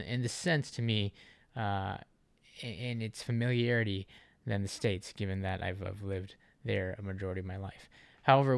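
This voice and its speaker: Male vlogger from Okinawa, Japan; low, gravelly voice, chatty and wordy delivery. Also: dry recording. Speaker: vlogger, channel host. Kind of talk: casual vlog introduction talking to viewers.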